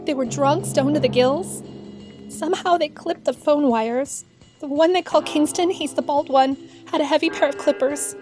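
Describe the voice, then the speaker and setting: A woman's voice: high-pitched, moderate pace, warm tone, faint music behind, close to an everyday voice. An actress playing an anxious, caring young woman held captive, earnest and emotional, in a radio-style audio drama. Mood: shaken, distressed.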